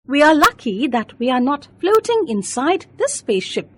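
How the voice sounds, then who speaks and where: A woman voicing a kindly, reassuring grown-up lady astronaut in a children's story, gently explaining things. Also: sits high, very animated, normal speed. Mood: gentle wonder.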